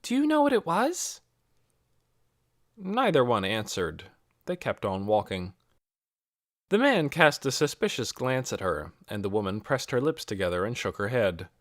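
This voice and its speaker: A man's voice: lowish voice, sing-song, normal speed, a bit bright, no room sound, studio quality. A man reading a scripted novel aloud as an audiobook narrator. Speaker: audiobook reader.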